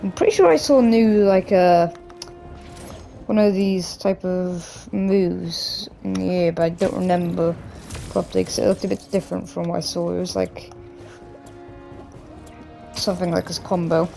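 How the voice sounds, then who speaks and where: Croaky young man chatting over gameplay. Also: sits high, steady tone, normal speed, pauses often, mellow, faint music behind. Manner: rambling, loose, with many restarts and "like". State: hoarse, croaky from a cough. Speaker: gaming YouTuber. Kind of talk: casual gameplay commentary.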